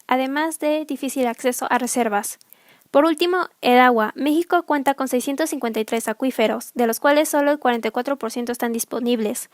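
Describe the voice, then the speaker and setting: A woman's voice: high-pitched, no room sound. Young woman reading a report, dry. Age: young adult. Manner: steady read delivery. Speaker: narrator.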